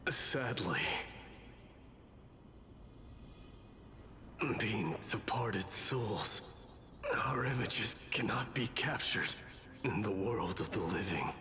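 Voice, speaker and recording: A man's voice: fairly low pitch, lively intonation, slow, pushed and bright, slight background noise, full character voice. A man playing a stoic, noble warrior in a video game, grave and formal, with reverb on his voice. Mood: regretful, formal. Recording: long echoing reverb, music behind. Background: game music.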